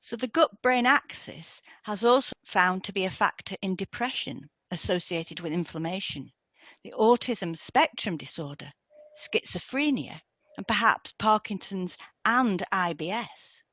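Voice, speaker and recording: Woman, co-presenter, medium pitch, scripted lesson delivery, deliberate and measured, dry recording. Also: animated, fairly bright tone, no room sound.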